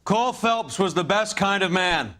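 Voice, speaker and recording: A man's voice: high-pitched, animated, normal speed, bright, forceful tone. A man playing a solemn eulogist in a game drama, speaking in a formal, grandiose, oratorical way. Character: solemn, smooth-talking man delivering a funeral eulogy.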